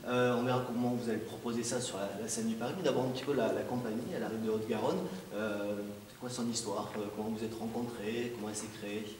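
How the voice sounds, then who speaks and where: A man's voice: on the low side, level tone, quick pace, hardly any pauses, darkish tone, a little hiss or hum. A man hosting an interview in a theatre hall, chatty and joking, with frequent hesitations. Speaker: host. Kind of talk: casual presenter interview. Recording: roomy hall, voice from a distance.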